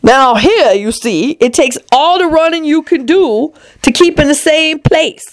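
A woman voicing a brisk, bossy but good-natured queen in a storybook, with an imperious, matter-of-fact manner. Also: high-pitched, animated, pitched above the performer's own voice, poor audio. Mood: scornful. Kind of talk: storybook character voice.

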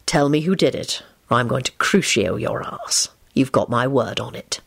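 A woman's voice: rumbling low, animated, fairly quick, vocal fry, no room sound, clean studio sound, voice pushed down, full character voice. A woman voicing a stern, worried wizard man in a story, terse and weary in manner. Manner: terse, impatient, firm.

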